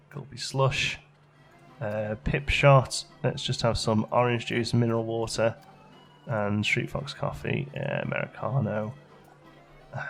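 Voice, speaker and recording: A man with a fairly low voice and a noticeable creak hosts a gaming video. He talks casually and chattily, thinking out loud as he plays, with a little music behind him. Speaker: gaming channel host. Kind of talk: casual let's play commentary.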